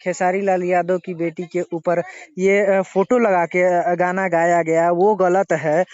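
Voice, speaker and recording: A man arguing haltingly as he questions someone in a confrontational phone interview, often cutting in to press his point. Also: high-pitched, level tone, darkish tone, clean tone, no room sound. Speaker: interviewer questioning a singer over a phone call. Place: phone call recording. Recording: dull lo-fi recorded phone call.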